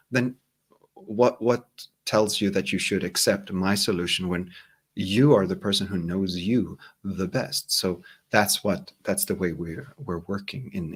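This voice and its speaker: A Swedish-accented man with a very low, deep voice speaks in a relaxed interview. He is calm and reflective, and he often circles back over his words as he thinks aloud. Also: slightly rough. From Sweden. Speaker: hypnotherapist guest. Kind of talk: casual interview conversation.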